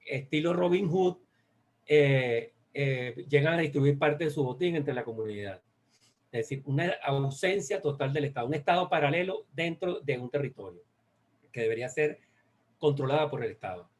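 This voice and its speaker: A man with a Venezuelan accent giving an academic presentation, speaking haltingly and often repeating phrases as he searches for words. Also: medium pitch, lively intonation. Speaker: guest speaker. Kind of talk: academic presentation on a research study.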